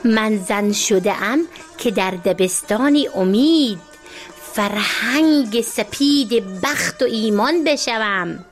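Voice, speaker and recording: A woman's voice: fairly high pitch, expressive, faint music behind. A woman reciting poetry.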